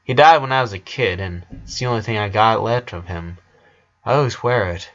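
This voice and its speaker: A man's voice: fairly low pitch, brisk, fairly bright tone, dull audio. A man voicing a weary, friendly fourteen-year-old boy in an audiobook story, chatty and hesitant with a wistful manner.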